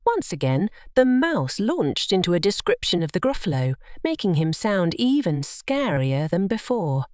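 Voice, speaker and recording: Female children's storyteller: lowish pitch, animated reading, dry close sound. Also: no echo. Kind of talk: scripted children's story narration.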